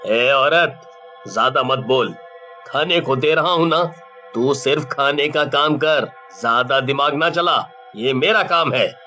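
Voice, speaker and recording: A man's voice: medium pitch, takes it slowly, pushed and bright, faint music behind. A man voicing a jovial but scheming village cook and husband in a cartoon, drawling and blustery, on dull audio. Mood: angry.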